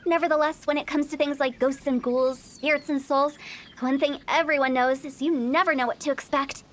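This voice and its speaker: A woman's voice: sits high, fairly even intonation, moderate pace, slight background noise, lightly acted. A young woman voicing a playful, mischievous female funeral director in a video game, teasing and mock-spooky.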